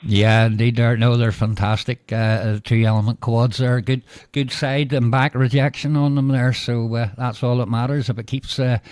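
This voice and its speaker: A Northern Irish man talks over a ham radio link with a mellow, dark tone. His voice is close and dry, without room echo. He speaks in a measured way, with lots of "uh" and "indeed there". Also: mid-range voice, fairly even intonation, clean tone. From Northern Ireland. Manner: measured, filler-heavy, signs off with "go ahead". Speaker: radio operator. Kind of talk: casual radio chat.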